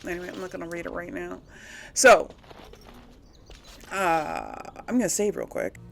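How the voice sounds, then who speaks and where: Gravelly-voiced woman thinking aloud while gaming. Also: fairly low pitch, wildly swooping pitch, normal speed. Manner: thinking aloud, self-questioning. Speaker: gamer hosting a role-play playthrough. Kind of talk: casual game commentary.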